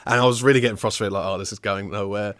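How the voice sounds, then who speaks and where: A young man with a southern English accent talks casually and upbeat, with plenty of fillers along the way. His voice sits a touch low, and it sounds dry and close with almost no room around it. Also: steady tone, racing, a bit bright, dry recording. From England, outside southwest London. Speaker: guest, technical audio designer. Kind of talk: casual interview chat.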